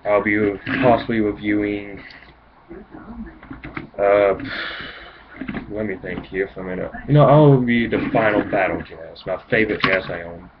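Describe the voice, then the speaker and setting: Low-voiced teenage guy with a speech impediment. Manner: hesitant, self-conscious, halting phrases. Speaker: amateur toy reviewer on a video channel. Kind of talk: casual, rambling home video review. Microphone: home video camera. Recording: muffled lo-fi camera mic, clear noise.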